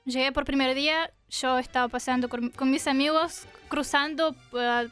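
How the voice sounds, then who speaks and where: High-voiced young Russian woman, hesitant. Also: steady tone, moderate pace, a bit bright, soft background music. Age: 20s. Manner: hesitant, searching for words with many fillers. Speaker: guest. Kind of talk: interview answers. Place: radio studio. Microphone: studio microphone.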